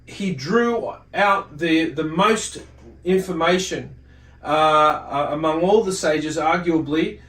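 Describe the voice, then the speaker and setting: A man giving an informal religious lesson, speaking in a halting, deliberate way and often correcting himself as he explains. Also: a little high, a bit bright. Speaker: religious teacher. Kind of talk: informal religious lecture, reading and explaining from a book. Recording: roomy echo, distant microphone.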